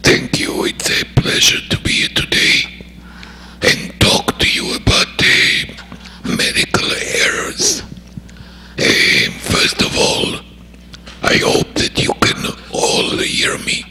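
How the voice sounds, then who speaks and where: An older man lectures to physicians in a breathy, whisper-like voice produced through a voice prosthesis. He speaks very slowly, with a sharp, bright edge to the sound. His delivery is halting, with many pauses and fillers. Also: slight background noise. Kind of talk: medical grand rounds lecture. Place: lecture hall.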